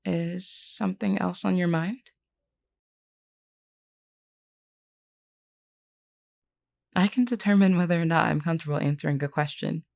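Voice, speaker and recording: Woman playing a low-voiced, creaky, gentle vampire queen. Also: fairly even intonation. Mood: gently amused. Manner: measured, reassuring, formally worded. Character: gentle, candid vampire queen, young-looking but older woman. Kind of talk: audio roleplay, speaking intimately to one listener.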